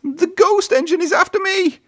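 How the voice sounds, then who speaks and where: A man voicing a cheeky, boastful, excitable young steam engine in a children's storybook. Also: extremely high pitch, normal speed, no echo, clean studio sound, pitched above the performer's own voice. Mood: panicked. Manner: bold, excitable.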